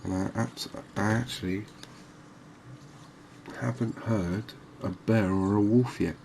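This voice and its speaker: A man giving calm, unhurried ASMR-style commentary on a fishing game, explaining things as he plays. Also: on the low side, creaky, slight background noise. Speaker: gaming video host.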